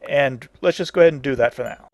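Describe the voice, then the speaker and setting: A man's voice: medium pitch, fast, a little hiss or hum. A man hosting a casual video game tutorial, explaining each step in plain, folksy wording. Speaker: tutorial host.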